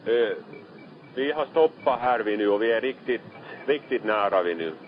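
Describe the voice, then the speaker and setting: A man's voice: fairly low pitch, animated, a little hiss or hum. A man, speaking as a ship officer reporting over marine radio. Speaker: ship officer at a rescue scene. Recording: muffled, band-limited marine radio transmission.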